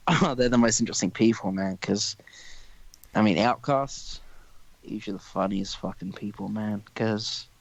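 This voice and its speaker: A man's voice: on the low side, unhurried, creaky, dry recording, dull audio. A young man hosting a podcast, chatting casually in a rambling way and swearing freely. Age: young adult. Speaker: podcast host. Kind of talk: casual chat.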